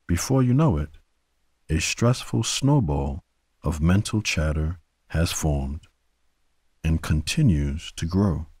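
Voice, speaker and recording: A man with a very low, mellow voice guides a bedtime meditation. He speaks gently and soothingly, letting each phrase settle before the next. The sound is close and very dry. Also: expressive, breathy edge, dry recording. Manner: gentle, soothing, unhurried delivery with long pauses. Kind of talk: guided sleep meditation narration.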